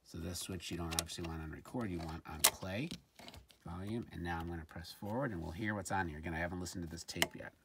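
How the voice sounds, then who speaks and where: A man's voice: low voice, fast talker, vocal fry. A man giving a casual hands-on product demonstration, informal and a little hesitant, as if thinking aloud. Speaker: presenter of a gadget review. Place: indoors at a tabletop. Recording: faint background noise with handling clicks.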